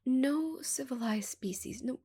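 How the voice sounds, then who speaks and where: A woman voicing a haughty, flustered giant female alien in an ASMR roleplay, breathy and whispery, with a prim, halting delivery. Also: high voice, warm tone, voice pushed up. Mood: flustered, scandalized.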